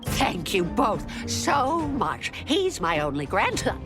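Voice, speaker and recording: Slow, kindly old grandmother. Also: medium pitch, wildly swooping pitch, heavily acted. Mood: overwhelmed gratitude. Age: older. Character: kindly, grateful doting grandmother. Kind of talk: video game voice acting. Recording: game music behind the voice.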